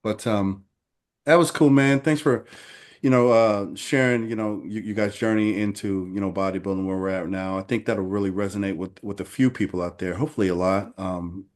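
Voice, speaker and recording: A man's voice: lowish voice, fairly even intonation, normal speed, a little mellow. A man in his forties hosting a casual podcast, laid-back and encouraging, often saying "you know". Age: 40s. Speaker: podcast host.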